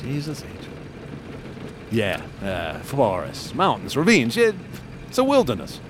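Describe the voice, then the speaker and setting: A man's voice: mid-range voice, wildly swooping pitch, unhurried, noisy background. An actor playing a chatty, boastful male state trooper detective who laughs at his own stories, in a radio drama.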